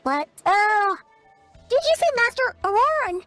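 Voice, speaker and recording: A woman voicing a tiny, childlike, perky and blunt fairy companion in a video game, with a squeaky voice and exclamatory delivery. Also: sing-song, takes it slowly, a bit bright, full character voice. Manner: refers to self in third person, exclamatory. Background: music.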